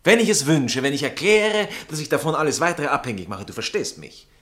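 Condescending baron, animated delivery. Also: male voice, fairly high pitch, fairly quick. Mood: stern, demanding. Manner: condescending, clipped, commanding. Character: stiff, possessive aristocratic baron and fiancé. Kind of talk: theatrical literary satire dialogue.

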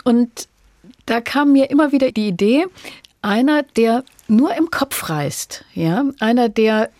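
A woman interviewing thoughtfully about literature, phrasing her questions in a measured, deliberate way with pauses. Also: a little high, animated, a little mellow, no room sound. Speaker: radio interviewer.

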